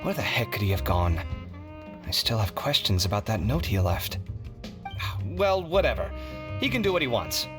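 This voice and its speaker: A man voicing an expressive, generous, easily exasperated young scholar in an anime video game, articulate and animated. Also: lowish voice, moderate pace, long pauses. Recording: guitar music behind.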